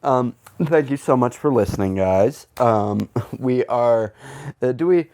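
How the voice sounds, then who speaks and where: A man's voice: mid-range voice, unhurried. A man hosting a comedic podcast in loose, casual chat, rambling and swearing freely with self-deprecating humour. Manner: rambling, profane, self-deprecating, animated when quoting. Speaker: podcast host.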